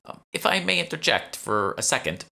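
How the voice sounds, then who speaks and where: A courteous female museum curator from an audiobook, voiced by a man. The voice sits higher than his own, bright in tone, earnest and informative, eager to please. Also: sing-song, moderate pace, gravelly, heavily acted. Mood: tactful. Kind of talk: audiobook character dialogue.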